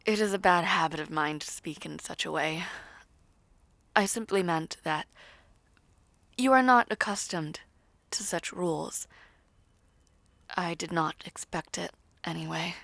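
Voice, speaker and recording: A woman plays an aloof royal princess in an audio roleplay, speaking very slowly in a lowish voice with plenty of creak. Her delivery is formal, blunt and breathy, sometimes nearly whispered. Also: fairly bright tone, no echo. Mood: composed, aloof. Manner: stiff, formal, measured. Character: soft-spoken, haughty royal princess, blunt yet kindly.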